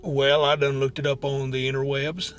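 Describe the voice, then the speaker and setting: A man's voice: mid-range voice, level tone, moderate pace, a bit bright, faint music behind. A man with a rural American accent co-hosting a comedy podcast, spinning tall tales in a folksy, stubbornly insistent way. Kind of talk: comic banter, telling tall tales.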